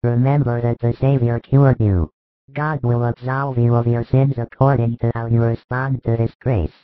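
A man's voice: medium pitch, flat delivery, moderate pace, clean tone, no echo, lightly acted. Man as solemn male savior, authoritative, firm, merciful, scripture drama, thin muffled recording.

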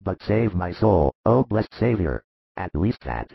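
A man voicing a wretched, sick husband who begs desperately for mercy in a gravelly, husky voice, in a scripture story. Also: sits low, sing-song, normal speed, no echo, highs cut off, voice pushed down, exaggerated voice. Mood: contrite, begging. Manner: desperate, sobbing delivery.

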